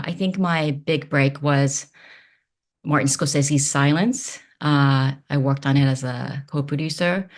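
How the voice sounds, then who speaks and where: Low-voiced woman, halting and thoughtful. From Japan. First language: Japanese. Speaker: film producer, podcast guest. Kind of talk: casual interview talk.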